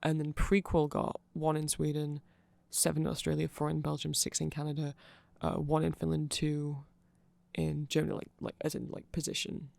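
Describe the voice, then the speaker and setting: Gravelly, nerdy man rambling through an explainer. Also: a little high, monotone, normal speed, darkish tone, no room sound. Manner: rambling, nerdy, hesitant with self-interruptions. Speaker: ASMR creator explaining band lore. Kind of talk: rambling informal ASMR explainer.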